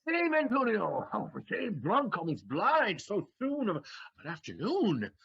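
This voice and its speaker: Man as a high, animated, cheeky manservant. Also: unhurried, gravelly, breathy edge, dull audio, full character voice. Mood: scolding. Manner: theatrical, stammering evasions. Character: quick-witted, cheeky manservant bluffing his master. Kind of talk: comic period stage acting.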